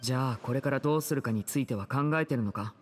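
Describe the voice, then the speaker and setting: A man playing a composed teenage older brother in an anime-style game, measured, serious and questioning, with music behind. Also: mid-range voice, a little hiss or hum, natural voice. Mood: stern. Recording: studio voice over game music. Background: background music.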